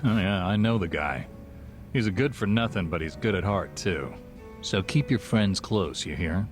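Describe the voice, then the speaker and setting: A man's voice: sits low, expressive, moderate pace, soft background music. A man acting a dry, kindly, laid-back bartender in a video game cutscene, with a wry manner. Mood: wry, fond.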